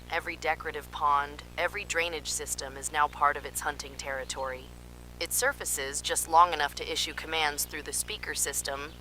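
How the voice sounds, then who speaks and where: Casting: actress as alarmed female field scientist for analog horror. Bright, tense delivery, urgent yet clinical, with faint noise on the recording. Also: low voice, rough recording. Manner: urgent, dramatic, clinical reporting. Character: alarmed female park scientist transmitting desperate emergency warnings. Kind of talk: analog horror emergency narration, field report monologue.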